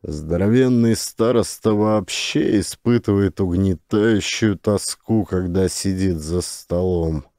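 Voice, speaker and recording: A man's voice: low voice, takes it slowly, hardly any pauses, mellow, no room sound, studio quality. A man narrating a literary audiobook as an actor reading from a script.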